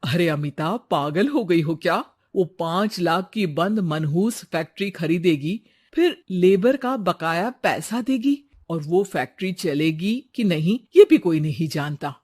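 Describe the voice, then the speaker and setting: A man's voice: high voice, expressive, unhurried, darkish tone. A man playing a blunt, scolding townsperson in a cartoon moral story, exclamatory and challenging. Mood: incredulous scolding.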